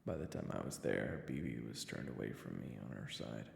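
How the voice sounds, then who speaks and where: Man acting a very deep, gravelly, brooding father. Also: expressive, full character voice. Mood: brooding, regretful. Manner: halting, hesitant confessional delivery. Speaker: narrator and lead character. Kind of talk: first-person dramatic narration. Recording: reverberant, echoey voice recording.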